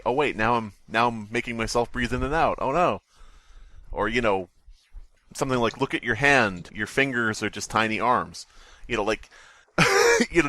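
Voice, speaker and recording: A man with a fairly low voice co-hosts a casual podcast. He rambles through sardonic asides about scary stories, and the recording is very dry with little room sound. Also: pushed and bright, no echo. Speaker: podcast co-host. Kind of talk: casual joking commentary on online scary stories.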